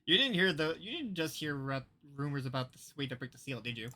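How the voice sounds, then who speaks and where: Bright-voiced, blunt male game streamer. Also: on the high side, sing-song, fast. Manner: blunt, profane, exasperated. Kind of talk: casual live game commentary.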